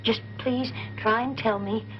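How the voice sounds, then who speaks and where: A woman's voice: fairly high pitch, steady tone, a little slow, noisy background, close to an everyday voice. An actress playing a frightened, pleading woman in a muffled horror film clip. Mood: fearful pleading. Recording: muffled film audio under eerie music.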